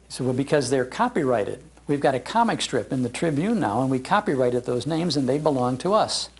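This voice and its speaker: An American man telling anecdotes to a gathering as an animated raconteur. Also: mid-range voice, brisk. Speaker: speaker, radio historian. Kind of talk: anecdotal storytelling in a public talk. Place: public library talk.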